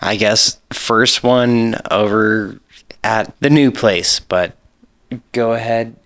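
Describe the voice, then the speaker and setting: A young man as a podcast guest, talking in a slow, halting way with lots of fillers. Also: fairly low pitch, fairly bright tone, no room sound, studio quality. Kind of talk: casual chat.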